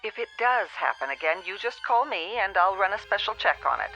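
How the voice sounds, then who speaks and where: An actress playing a polite, matter-of-fact phone company operator in a radio drama, businesslike and heard through a telephone filter. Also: female voice, mid-range voice, sing-song, fairly quick, vocal fry, bright, forceful tone, exaggerated voice. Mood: courteous. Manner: evasive, businesslike, courteous. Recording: dull telephone-style sound, faint music.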